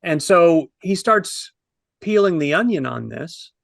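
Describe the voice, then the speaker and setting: A man in his fifties telling a story in a casual, animated review on a video call, wordy and posing himself questions. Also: fairly high pitch, slow pace, creaky, dry recording, studio quality. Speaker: film review panelist.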